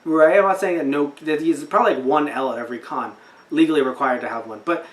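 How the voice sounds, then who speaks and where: A man's voice: on the high side, quick pace. A young man podcast host chatting casually about anime in a rambling, incredulous way, often repeating himself. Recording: roomy echo, distant from mic.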